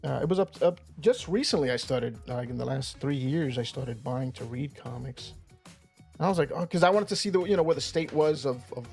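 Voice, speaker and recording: A middle-aged man chatting casually in a laid-back, halting way, often repeating words as he goes. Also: medium pitch, lively intonation, gravelly, a little mellow, soft background music. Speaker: co-host, comic artist. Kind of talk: casual chat.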